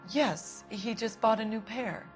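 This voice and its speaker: Casting: woman to play prim, anxious American housewife, middle-aged, defensive and quick to take offence, game drama, clear music bed. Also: a little high, lightly acted. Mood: bitter, anxious. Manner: defensive, easily affronted. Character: prim, anxious, indignant wife of a missing man. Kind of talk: dramatic game cutscene acting.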